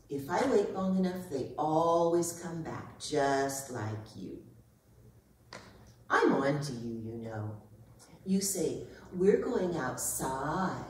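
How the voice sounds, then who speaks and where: A woman's voice: deep voice, darkish tone, slight background noise. A woman playing a stubborn, indignant pet cat in a staged reading, speaking in a pompous, formal way with precise diction. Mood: suspicious. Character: haughty, stubborn, indignant female pet cat named Callie. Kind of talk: theatrical staged dramatic reading. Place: arts centre performance space. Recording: echoey hall, distant microphone.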